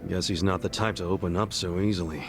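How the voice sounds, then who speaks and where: An actor playing a brash, warmhearted, loyal street tough in a video game cutscene, blunt and informal. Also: male voice, low-pitched, fairly even intonation, fast talker, over music. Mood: friendly. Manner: informal, blunt, swaggering, foul-mouthed.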